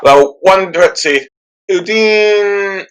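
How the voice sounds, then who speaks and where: A man hosting a podcast, talking through a story in a halting way and often pausing on "let's see". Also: high-pitched, clean tone. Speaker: podcast host. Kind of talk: casual podcast discussion.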